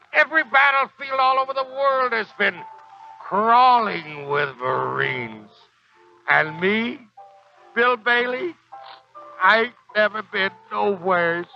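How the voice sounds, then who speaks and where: An actor playing a proud, embittered old Marine sergeant in a 1940s American radio drama, with gruff, slangy, uneducated speech. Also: male voice, high-pitched, animated, takes it slowly, exaggerated voice. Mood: bitter, rambling. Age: older. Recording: dull 1940s radio recording, faint music.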